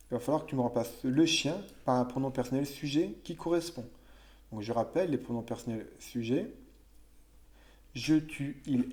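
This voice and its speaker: Relaxed mellow-voiced man teaching grammar. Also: mid-range voice. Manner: conversational, thinks aloud with fillers and self-corrections. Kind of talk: explaining French grammar to children.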